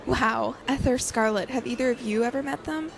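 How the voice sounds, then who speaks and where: High, bubbly young student. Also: female voice, normal speed, creaky. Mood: admiring. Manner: gushing, enthusiastic. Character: bubbly, artsy young woman, openly adoring and eager. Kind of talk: audio drama acting.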